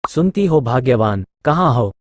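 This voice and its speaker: A man's voice: mid-range voice, animated, normal speed, no echo, studio quality, close to an everyday voice. A man voicing a naive, mild-mannered village priest in a cartoon story, simple and trusting in his talk. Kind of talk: Hindi cartoon story dialogue.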